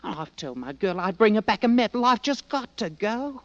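High, lively cockney drummer boy. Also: normal speed, exaggerated voice. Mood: earnest, respectful. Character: cocky, eager cockney drummer boy in his teens. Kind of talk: 1940s-50s radio drama acting. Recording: dull old radio recording.

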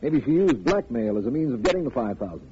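A man's voice: mid-range voice, sing-song, fast, mellow, lightly acted. An actor playing an earnest, insistent lawman in a 1940s radio detective drama, explaining things emphatically. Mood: eager, reasoning. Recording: muffled, noisy old radio recording.

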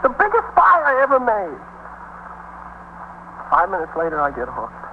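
An actor playing a cornered, self-pitying small-time drug dealer from the streets, rambling and griping in a 1950s radio drama. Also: male voice, high-pitched, huge pitch swings, normal speed. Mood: bitter, self-pitying. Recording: muffled 1950s radio recording, faint hum.

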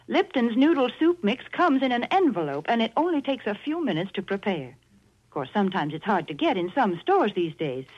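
An American woman giving a folksy, earnest, plain-spoken scripted sponsor pitch on 1940s radio. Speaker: sponsor's announcer. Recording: muffled 1940s radio recording.

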